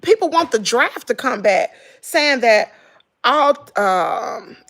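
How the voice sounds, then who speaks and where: Hoarse, gossipy Southern woman with gravelly voice. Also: fairly high pitch, lively intonation, slow pace, a bit bright. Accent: Southern American. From Southern United States. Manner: chatty, gossipy, dramatic exclamations. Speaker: vlogger. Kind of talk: casual chat with viewers.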